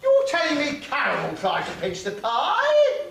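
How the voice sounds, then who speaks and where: Bloke as a pushy panto mum, swoopy scolding dame voice, super echoey hall. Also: male voice, extremely high pitch, huge pitch swings, a little slow, vocal fry, full character voice. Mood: mock indignation. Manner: exaggerated, coaxing, scolding. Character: bossy, greedy, doting pantomime dame mother. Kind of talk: pantomime stage acting, playing to audience. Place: theatre or hall stage, live performance. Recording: very echoey hall, faint noise.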